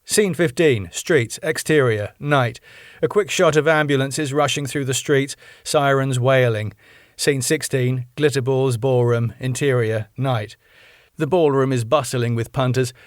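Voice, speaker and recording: A man reading his own sitcom script aloud, stage directions included, with a lively delivery. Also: mid-range voice, a little slow, no room sound, studio quality.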